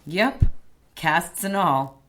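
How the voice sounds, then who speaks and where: A prickly, down-to-earth ally in a radio drama. Her voice sits a little low. She speaks bluntly, with wry scepticism. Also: expressive, very slow, a bit bright, exaggerated voice.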